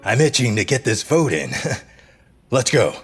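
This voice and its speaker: A man's voice: mid-range voice, big pitch swings, a little slow, music in the background. A man playing a gleeful, impatient city councilman in an audio drama, with an eager manner.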